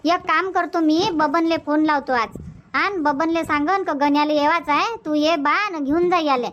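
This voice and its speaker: A woman's voice: high voice, moderate pace, a bit bright. A woman voicing a grumbling, talkative village grandmother in a cartoon comedy, with a Varhadi Marathi accent, on a lo-fi recording. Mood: exasperated. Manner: chatty, complaining, repetitive. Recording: dull, lo-fi, faint noise.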